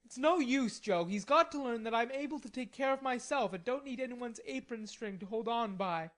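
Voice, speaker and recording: A woman voicing a proud, hot-tempered young gentleman who speaks indignantly and defiantly. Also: fairly high pitch, normal speed, voice pushed up, natural voice.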